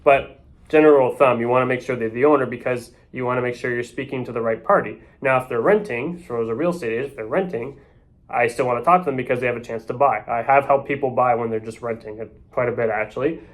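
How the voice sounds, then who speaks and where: A man's voice: mid-range voice, fast. A man who works as a real estate agent, coaching others on door knocking in a loose, off-the-cuff way and often tagging his points with "right?". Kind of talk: casual sales coaching talk.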